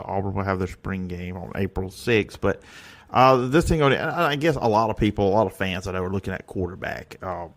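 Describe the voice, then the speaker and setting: A man with a fairly low voice hosting a sports talk show. He moves between topics conversationally, easing into each question with fillers. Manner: conversational, filler-heavy lead-ins. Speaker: sports podcast host. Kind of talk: casual interview questions.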